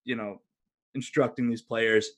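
A man's voice: mid-range voice, steady tone, a little slow. A man hosting a sports podcast in a casual, conversational style. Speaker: hockey podcast host.

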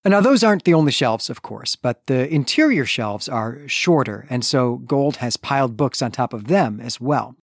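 A man's voice: medium pitch, no echo, clean studio sound. A middle-aged man co-hosting a podcast, giving a conversational literary recap in an enthusiastic, emphatic way. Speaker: podcast co-host. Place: basement room, close and dry.

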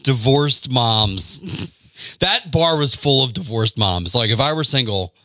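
Podcast co-host, male, teasing, laid-back, bright lively voice, dry sound. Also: mid-range voice, slow pace, no echo. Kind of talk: casual banter.

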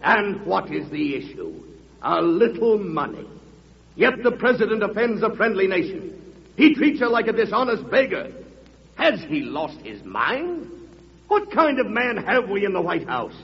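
High-voiced, thundering senator. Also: male voice, very animated, unhurried, creaky, exaggerated voice. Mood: accusing. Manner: declamatory, rhetorical. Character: fiery opposition senator, accusing and grandiose orator. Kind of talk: old-time radio oratory. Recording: dull radio recording, long hall reverb.

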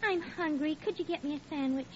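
A woman's voice: high voice, fairly quick, darkish tone, natural voice. An actress playing a doting, girlish young bride who dithers and coos plaintively in an old-time radio comedy. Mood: cooing, plaintive. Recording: muffled, noisy old radio broadcast.

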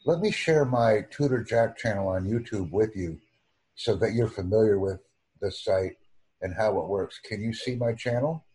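A deep, husky American man with a mellow, dark tone, speaking slowly and formally. He lays out each phrase with careful, over-enunciated precision, as if addressing a class. The sound is that of a computer mic on a video call. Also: low-quality recording. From United States. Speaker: YouTube channel host.

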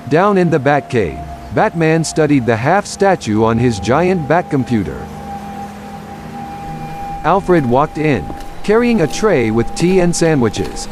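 A man's voice: fairly high pitch, very animated, slow. A man narrating a scripted action story for an audio drama. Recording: music bed behind narration.

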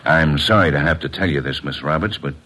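Brief: gruff inspector, very low and rough, businesslike, old-time radio crime drama. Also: male voice, a little fast, sharp tone, heavily acted. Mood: official. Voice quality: husky. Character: gruff, impatient male police inspector. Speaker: actor playing a police inspector. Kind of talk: classic American radio drama acting.

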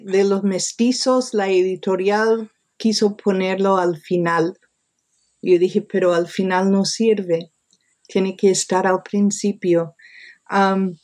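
A woman researcher answers interview questions in a measured, slow delivery. She pauses often to find the next phrase, explaining things thoughtfully and without a script. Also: mid-range voice, a little mellow. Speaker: interviewed author and academic researcher.

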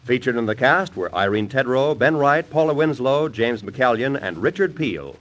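A man's voice: mid-range voice, lively intonation, normal speed, a bit bright. A man with an American accent reading scripted announcements in the style of 1950s network radio.

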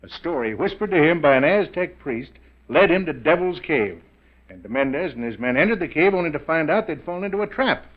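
Wealthy male adventurer, lightly high voice, hearty, boyish. Vintage radio mystery, dull sound. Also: expressive, brisk. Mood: absorbed storytelling. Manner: hearty, chummy, storytelling. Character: boyish, adventurous wealthy businessman, eager treasure enthusiast. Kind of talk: 1940s radio mystery acting. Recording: dull 1940s radio recording.

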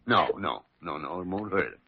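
An old American doctor, gruff, grave and kindly. His low voice rises and falls widely, with halting, emphatic phrases and repeated words. The sound is a dull vintage radio drama recording. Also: lively intonation, slight background noise. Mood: solemn, gentle. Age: older. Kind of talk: 1940s-50s radio drama acting.

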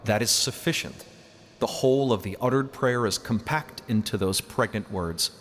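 A man voicing a solemn, fervent messenger of God in a storybook reading, preaching in measured archaic phrases. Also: lowish voice, fairly bright tone. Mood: commanding. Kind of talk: dramatic storybook narration.

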